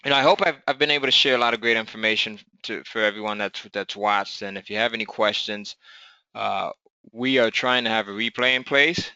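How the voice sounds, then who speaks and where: A hoarse man presenting a live webinar, stopping and restarting with lots of fillers. His voice sits low yet bright and smooth. The audio is dry and dull, like lo-fi streaming sound. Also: no echo. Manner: halting, many fillers.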